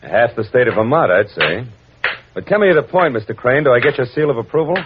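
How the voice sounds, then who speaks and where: An actor playing a wry, tough private detective who narrates his case in a deadpan, sardonic 1940s radio style. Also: medium pitch, brisk, background noise, natural voice. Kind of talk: 1940s radio detective acting, scripted first-person narration. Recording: dull vintage radio recording with music.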